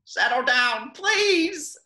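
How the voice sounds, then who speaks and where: A man acts out a gloomy cartoon squid who leads a band, whining with melodrama. The voice is very sharp and bright and swings widely in pitch. It sounds roomy, like a home recording. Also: lively intonation, drawn-out words, full character voice. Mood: exasperated. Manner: whiny, melodramatic. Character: gloomy, exasperated male squid marching-band leader, easily defeated. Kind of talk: cartoon table read.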